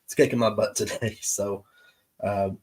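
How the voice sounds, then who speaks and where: A man hosting his show's live stream, chatting casually with viewers in an easygoing, halting way, his voice stuffed up from allergies. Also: on the low side, flat delivery, darkish tone. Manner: easygoing, halting with many pauses. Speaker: show host. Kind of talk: casual livestream chat with viewers.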